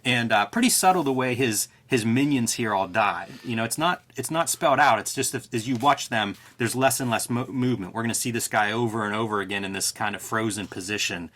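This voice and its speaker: A man's voice: mid-range voice, fairly quick, hardly any pauses, fairly bright tone. A man co-hosting a discussion show, chatting casually and thinking through his points in an explanatory, reflective way. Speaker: co-host of a comic book discussion show. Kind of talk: casual analytical chat.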